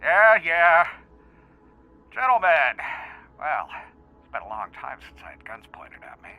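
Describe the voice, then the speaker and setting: Man acting a cocky, animated old fighter. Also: fairly high pitch, measured pace, phone-quality sound, exaggerated voice. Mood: cocky, defiant. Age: older. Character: cocky, world-weary old fighter, fond and defiant. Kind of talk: audio drama acting.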